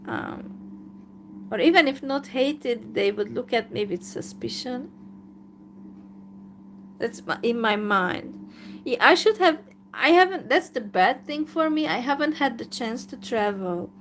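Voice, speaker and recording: A woman from Hungary with a Hungarian accent, talking in a live video monologue in a downcast, resigned way, full of hesitant pauses. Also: on the high side, animated, a little hiss or hum. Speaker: YouTuber.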